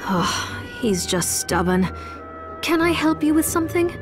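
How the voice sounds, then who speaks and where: A woman playing a courteous, loyal, gentle ally in a video game, with polite, formal speech. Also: high-pitched, very animated, unhurried, music in the background. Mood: apologetic. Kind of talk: video game character acting.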